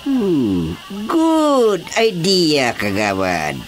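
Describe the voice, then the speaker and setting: Mocking village chairman, Ilocano accent, unhurried drawl, radio drama, noisy background. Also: fairly high pitch, huge pitch swings, heavily acted. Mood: teasing. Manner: drawling, teasing. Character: teasing, slow-talking village chairman, comically mocking.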